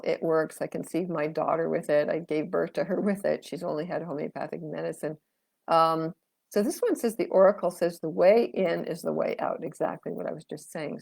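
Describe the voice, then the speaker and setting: Woman, middle-aged, tarot reader, low voice, gravelly, losing her voice, dry sound. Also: a little mellow, no room sound. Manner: chatty, rambling with fillers, talks to viewers' comments. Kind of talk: live-streamed tarot reading.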